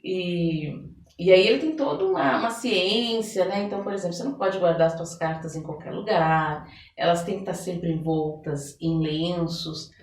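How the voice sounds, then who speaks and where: A Brazilian woman with a fairly low voice speaks in an explanatory, conversational interview style. She often acts out short quotes to make her point. The sound is echoey, picked up some way from the microphone. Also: moderate pace. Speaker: podcast guest, therapist and oracle card reader. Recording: roomy echo, distant microphone.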